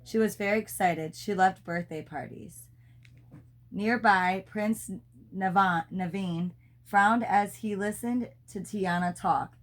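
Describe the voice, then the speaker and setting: A woman's voice: medium pitch, slow. A woman reading a children's storybook aloud, as a mother does for her child.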